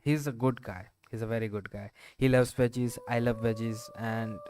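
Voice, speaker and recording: A man's voice: mid-range voice, steady tone, moderate pace. A man giving casual gameplay commentary as a streamer, thinking aloud in a rambling, hesitant way. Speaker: gaming streamer. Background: loud game music.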